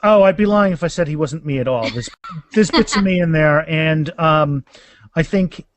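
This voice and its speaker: A man speaking as an author guest in a casual interview, halting and restarting phrases, with self-deprecating humor. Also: on the high side, no echo.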